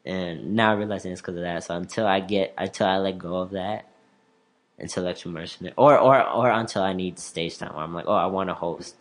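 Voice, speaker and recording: A man's voice: deep voice, a little fast. Stuttering man in casual chat. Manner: rambling, laughs often. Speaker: stand-up comedian, guest.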